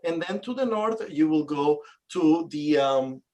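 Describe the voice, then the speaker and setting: A man's voice: fairly high pitch, lively intonation, unhurried, dark tone. A man, a guest realtor, giving long explanations in casual interview chat, full of fillers.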